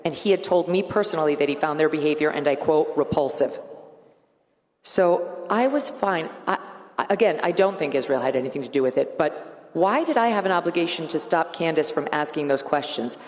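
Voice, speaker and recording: A woman's voice: deep voice, lively intonation, darkish tone. A middle-aged woman speaking bluntly and emphatically in an onstage conference conversation. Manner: blunt, emphatic, quick to laugh. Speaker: show host, interview guest. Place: large conference hall stage with live audience. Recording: long hall reverb.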